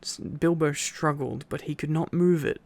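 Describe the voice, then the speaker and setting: A man's voice: on the high side, steady tone, moderate pace, a little mellow. A sleepy man doing an intimate ASMR boyfriend-style reading, tired and affectionate, with playful asides to his listener. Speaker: ASMR boyfriend roleplay storyteller.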